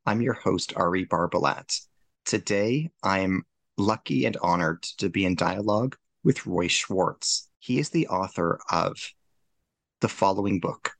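A man's voice: low voice, creaky, a bit bright, dry recording. A man hosting an academic podcast, courteous and formal in his questions. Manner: courteous, measured, formal phrasing. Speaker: podcast host.

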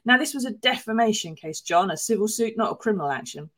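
A woman's voice: medium pitch, lively intonation, fast, a bit bright. Wry woman podcast host. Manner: chatty, wry, anecdotal. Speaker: podcast co-host, newspaper columnist. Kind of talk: casual news chat.

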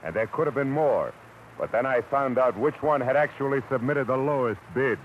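A man's voice: medium pitch, moderate pace, highs cut off, very noisy, natural voice. An American man playing a tough, weary private detective in a terse 1940s radio drama style, narrating and speaking in scenes. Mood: brisk, wry. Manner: terse, hard-boiled delivery. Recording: vintage radio recording with music.

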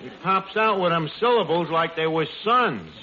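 A man's voice: a little high, lively intonation, normal speed, natural voice. Man playing brash New barroom wisecracker, noisy recording. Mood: wry. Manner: slangy, sarcastic, wisecracking. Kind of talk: 1940s radio comedy acting. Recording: dull, noisy old radio recording.

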